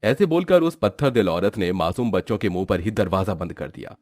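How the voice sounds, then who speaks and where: A man's voice: low-pitched, expressive, brisk, darkish tone, no echo, clean studio sound. A man narrating a scripted cartoon story in storybook fashion.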